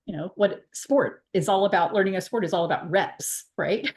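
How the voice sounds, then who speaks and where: A woman's voice: fairly low pitch, moderate pace, a little mellow. Earnest woman academic stressing her point. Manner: earnest, insistent, repeating for emphasis. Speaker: academic panel guest. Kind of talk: panel discussion.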